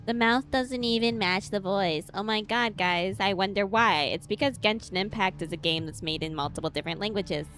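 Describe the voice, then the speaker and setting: A woman's voice: medium pitch, fairly quick, few pauses, a bit bright, rough recording. A woman giving casual live game commentary as a streamer, joking in a slangy, sarcastic way. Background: faint game music.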